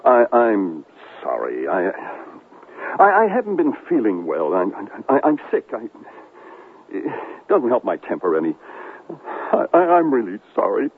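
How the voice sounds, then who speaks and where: An actor playing a vain, manipulative, self-pitying newsman in a radio drama, with a theatrical, stammering manner and a husky voice. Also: fairly low pitch, huge pitch swings, unhurried, heavily acted. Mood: pitiful, stammering. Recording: muffled lo-fi 1970s radio drama recording.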